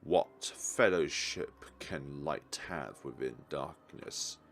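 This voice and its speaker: A man voicing an ominous hooded figure from a visual novel, a solemn and cryptic prophet speaking slowly and portentously. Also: a bass voice, a bit bright, pitched below the performer's own voice, heavily acted.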